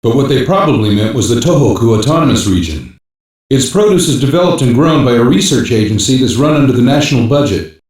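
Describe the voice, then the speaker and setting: A man's voice: medium pitch, lively intonation, quick pace, a little mellow, close to an everyday voice. An actor playing a male intelligence hacker on a cyber-police team, giving matter-of-fact, clipped technical briefings as scripted video game lines. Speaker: voice actor in an English dub. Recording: very echoey, distant-sounding game audio capture.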